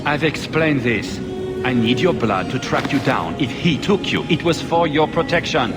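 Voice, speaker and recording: An actor playing a desperate, vengeful blood mage in a video game, grave and defensive. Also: male voice, a little high, animated, moderate pace, lightly acted. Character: desperate, vengeful blood mage hunting his sister's killer. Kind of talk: dramatic video game acting. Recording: long hall reverb under loud game music.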